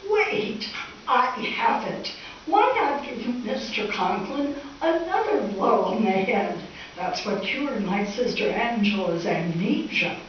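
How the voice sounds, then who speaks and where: A woman's voice: mid-range voice, lively intonation, slow. A woman playing an earnest, blithely scatterbrained married lady in a live radio comedy. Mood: eager. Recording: very echoey hall, distant, dull, hissy.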